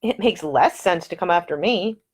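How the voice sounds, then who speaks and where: A woman voicing a spirited, independent young woman perfumer, talking in a brisk, earnest and self-assured way in audiobook dialogue. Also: fairly low pitch, expressive, slightly rough. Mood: teasing.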